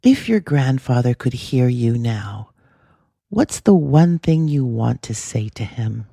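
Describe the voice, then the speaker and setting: A podcast host speaking in a measured, earnest, reflective way, with scripted narration and gentle interview questions. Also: mid-range voice, very animated, creaky, no room sound, clean studio sound.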